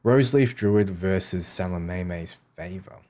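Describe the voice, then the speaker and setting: A low-voiced man talks casually on a gaming livestream. He reads questions aloud and replies offhand, often repeating short sentences to make his point. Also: unhurried, darkish tone. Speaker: game streamer answering chat questions.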